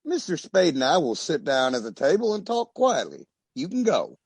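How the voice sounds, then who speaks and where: A man acts out a guilty, well-off husband in an old radio detective play. The voice is high and husky, leaping wildly in pitch as he nervously makes his case. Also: sing-song, moderate pace, no echo, full character voice. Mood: flustered. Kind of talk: old-time radio detective drama acting.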